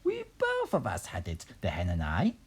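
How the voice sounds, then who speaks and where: A man voicing a bossy, boastful old grandmother in a children's storybook, crowing and shouting. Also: sits low, wildly swooping pitch, normal speed, slightly rough, exaggerated voice. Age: older. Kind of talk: children's storybook character reading.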